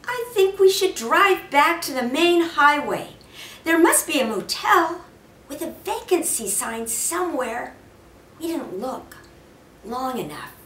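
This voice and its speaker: A woman's voice: high voice, big pitch swings, takes it slowly, a bit bright, pitched above the performer's own voice, full character voice. A woman voicing a critical, protesting mother in a storybook, emphatic and complaining. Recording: roomy, distant mic with faint noise.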